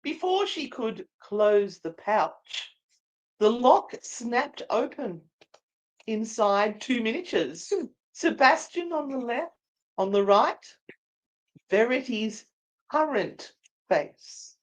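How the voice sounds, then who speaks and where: A woman's voice: a little high, very animated, slow, long pauses, rough recording. A woman hosting and narrating a dramatic story read in a theatrical, playful way, with casual banter. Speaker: host and narrator.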